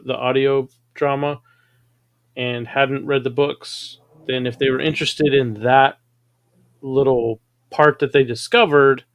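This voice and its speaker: A man's voice: mid-range voice, no echo. Man hosting a casual chat, dry close sound. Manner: conversational, explains ideas with fillers. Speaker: show host.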